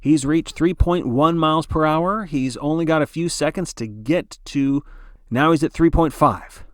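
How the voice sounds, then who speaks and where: A man's voice: fairly high pitch, unhurried. A man narrating like a sports broadcaster, giving dramatic play-by-play in a theatrical, folksy announcer style.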